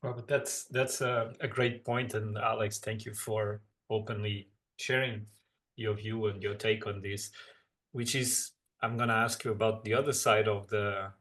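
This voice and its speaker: A man interviewing a guest, thanking him and rephrasing a reflective question. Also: lowish voice. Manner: warm, appreciative, rephrases questions.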